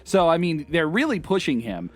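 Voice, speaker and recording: A man with a fairly high voice co-hosts a casual film discussion. He gushes like a devoted fan and fills in background details with obvious knowledge. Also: sing-song, normal speed. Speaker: podcast co-host reviewing a film.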